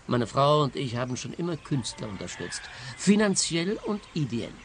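Evasive well-to-do husband, medium pitch. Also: male voice, very animated, moderate pace, a little hiss or hum. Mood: defensive. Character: evasive, well-to-do married client, touchy and self-justifying. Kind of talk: radio play acting.

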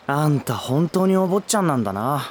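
A man's voice: on the high side, sing-song, a little fast, a little hiss or hum. A male actor playing a down-to-earth young man in a voice drama, speaking casually and bluntly but with care. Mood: teasing, caring. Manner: casual, rough, blunt speech. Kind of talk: Japanese voice drama acting.

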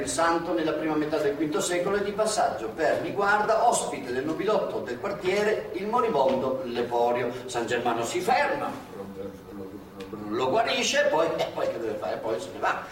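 Man narrating ironically on a stage. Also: on the high side. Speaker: actor-narrator in a stage play. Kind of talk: theatrical storytelling. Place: theatre stage. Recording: echoey theatre from far off.

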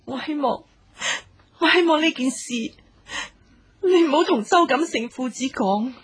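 A woman's voice: sits high, animated, a little slow. An actress with a Hong Kong accent playing a coy, cautious young woman in a radio drama, hesitant and pleading. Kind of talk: Cantonese radio drama acting.

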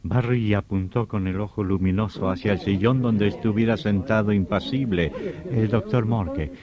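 Ominous horror-serial narrator male actor, rather low, dramatic, vintage radio sound with dulled highs. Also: moderate pace, crowd noise, lightly acted. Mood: dramatic, horrified. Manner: dramatic, literary, theatrical. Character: ominous storyteller of a sinister horror tale. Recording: dull old radio recording, loud music.

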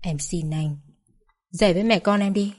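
A woman voicing a grieving young mother who talks softly and pleads close to tears. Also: lowish voice, normal speed, close to an everyday voice. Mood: pleading. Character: grieving young mother at her husband's bedside.

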